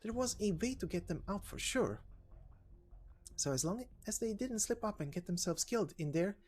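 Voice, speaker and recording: A man's voice: sits high, expressive, moderate pace, a little mellow, slight background noise. A man voicing a chatty, breezy, teasing informant in a game story reading, speaking casually with rhetorical questions. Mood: breezy, explanatory.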